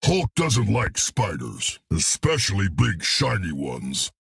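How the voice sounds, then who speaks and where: A man voicing a hulking, cocky green brute in a video game, gruff and blunt, talking about himself in the third person with a gravelly, husky voice. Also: sits low, huge pitch swings, takes it slowly, no echo, studio quality, exaggerated voice. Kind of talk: video game character dialogue.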